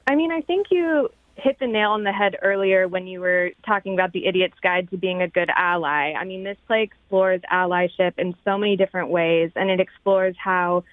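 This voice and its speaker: A woman's voice: fairly low pitch, normal speed, few pauses, no room sound. A woman with an American accent speaking conversationally as an interview guest over a remote line. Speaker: theater producer interview guest. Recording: dry, phone-like remote line.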